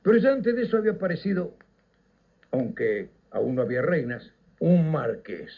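An older man with a Uruguayan Rioplatense accent, telling nostalgic stories on radio in a theatrical, declamatory way with dramatic pauses. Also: fairly high pitch, big pitch swings, gravelly, slightly rough. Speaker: radio storyteller and host. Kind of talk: nostalgic scripted radio narration. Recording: slightly dull, lo-fi radio recording.

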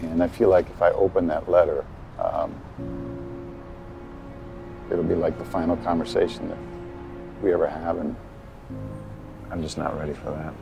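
A man in his forties playing a grieving widower on a city rooftop, talking haltingly with many pauses. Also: low voice, little pitch movement, vocal fry, highs cut off, music in the background. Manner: hesitant, halting, soft-spoken with many pauses. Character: grieving middle-aged widower, gentle and soft-spoken. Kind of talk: film drama scene with outtakes.

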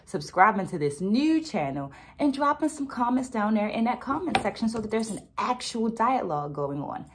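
A middle-aged woman talking to camera about a book in a deliberate, articulate, explanatory manner. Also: medium pitch. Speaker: educator and book reviewer. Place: small indoor room at home. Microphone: phone camera a short distance away.

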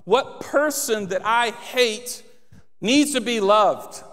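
A man's voice: high-pitched, slow, fairly bright tone. A man in his thirties preaching a passionate sermon on a church stage, speaking into a handheld mic and rising to emphatic appeals. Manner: passionate, builds to emphatic exhortation. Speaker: pastor. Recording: long hall reverb.

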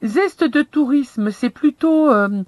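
A woman's voice: high voice, expressive, slow pace, darkish tone, no room sound. A middle-aged woman vlogging from inside her camper van, casually walking viewers through a screen step by step with a chatty, self-deprecating manner.

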